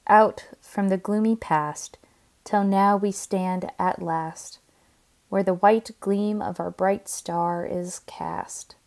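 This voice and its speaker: A woman reciting poetry in a solemn, measured and deliberate way. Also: medium pitch, steady tone, darkish tone. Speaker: poetry reader.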